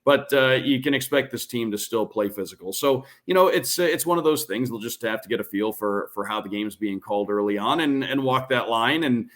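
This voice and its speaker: A man's voice: mid-range voice, animated, brisk, hardly any pauses, fairly bright tone. A man who works as a radio play-by-play broadcaster, talking as a podcast guest, fluent and announcer-like. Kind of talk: casual podcast interview.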